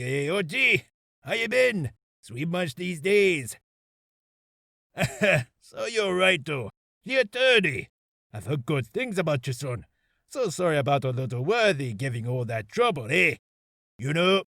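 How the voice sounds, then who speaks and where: A man's voice: a little high, gravelly, sharp tone, dry recording, studio quality, heavily acted. A man voicing an older police chief, jovial and chummy with veiled menace, for a character reel. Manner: chummy, drawn-out phrases, veiled threat.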